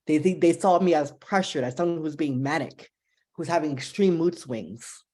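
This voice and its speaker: A young woman from New Jersey with a New Jersey accent, giving an impassioned, fast-paced speech as a symposium panelist, emphatic and pleading. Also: low voice, a little mellow. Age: young adult.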